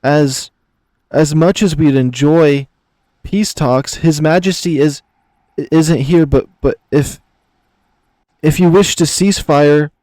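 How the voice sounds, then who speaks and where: A man's voice: a little high, takes it slowly, long pauses, a little mellow, no room sound, pitched above the performer's own voice, poor audio. A man voicing a flustered young male tiger knight in a visual novel, stammering and hesitant. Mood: nervous, polite. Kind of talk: visual novel character reading.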